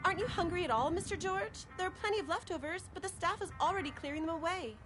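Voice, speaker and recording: A woman voicing a sociable, bossy lady mouse assistant in a game, chatty and gently chiding. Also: extremely high pitch, fast talker. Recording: music behind the voice.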